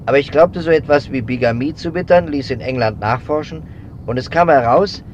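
An actor playing a methodical, suspicious investigator in a 1950s German radio drama, speaking deliberately in emphatic, clipped phrases. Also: male voice, mid-range voice, natural voice. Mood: intent, brooding. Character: persistent adult male investigator, methodical and suspicious. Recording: dull, noisy 1950s radio recording.

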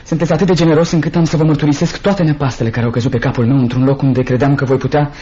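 An actor playing an impassioned, protective young gentleman in a Romanian radio drama. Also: on the high side, steady tone, natural voice. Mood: grateful, earnest. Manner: impassioned, theatrical. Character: earnest, indignant young gentleman, protective of family. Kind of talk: Romanian radio theatre acting. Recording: dull lo-fi radio drama recording.

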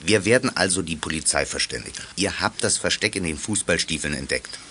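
An actor playing a stern but caring middle-aged father in a radio play, admonishing in a measured, explanatory way. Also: sits low, expressive, sharp tone, slight background noise. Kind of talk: German radio play acting.

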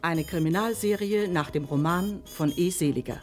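A woman's voice: on the low side, normal speed. Female radio play announcer over music. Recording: mallet percussion music behind. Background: glockenspiel and xylophone music.

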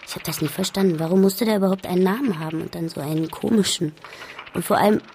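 A woman's voice: lowish voice, fast, darkish tone, a little hiss or hum, natural voice. An actress playing a stalker's daughter who narrates her memories in a wry, puzzled way, in a radio drama monologue.

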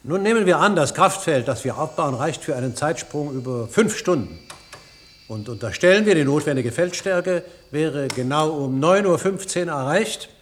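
A man acting in a radio play as an impatient scientist who lectures in a brisk, dryly ironic, didactic way. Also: on the high side, expressive, fairly bright tone. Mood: lecturing, animated. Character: impatient, ironic male scientist, a lecturing professor explaining theories. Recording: noisy old radio play recording.

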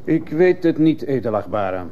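A man's voice: on the high side, sing-song, moderate pace. A man playing a dutiful, disapproving sergeant giving stiff, deferential testimony in a radio drama. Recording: muffled, hissy old radio play recording.

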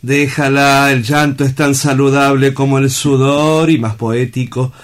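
Man playing a calm philosophical doctor, clinical and a bit pompous, theatrical radio play delivery, mid-pitched voice. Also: unhurried, natural voice. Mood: calm, patient. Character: calm, philosophical doctor running a sanatorium for suicides. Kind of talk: theatrical radio drama acting.

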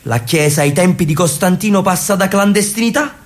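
A man playing a lonely old brother from Naples in a radio play, grieving and wry. His voice runs a bit high for a man, his speech slow to warm and full of brooding reflection. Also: close to an everyday voice. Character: elderly Neapolitan brother, melancholic and solitary. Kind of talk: radio drama acting.

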